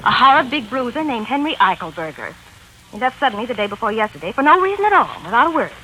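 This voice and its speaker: An actress playing a brisk, bossy fiancée, commanding yet coaxing and sweetly impatient, in 1940s radio style. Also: female voice, a little high, big pitch swings, pushed and bright, poor audio. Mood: earnest. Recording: old 1940s radio broadcast, faint noise.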